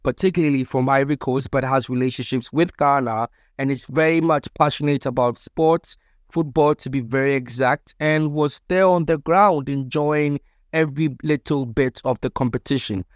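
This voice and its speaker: A man's voice: medium pitch, moderate pace, no room sound, studio quality. A man hosting a sports business podcast, introducing his guest and asking questions in an upbeat, formal way. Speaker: podcast host.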